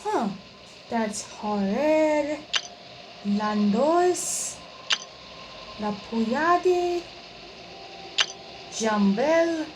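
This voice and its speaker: A woman's voice: high voice, lively intonation, very slow, pauses often. A woman giving casual playthrough commentary, muttering and reacting to the game as she plays. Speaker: gameplay streamer. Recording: loud noise, game sound behind.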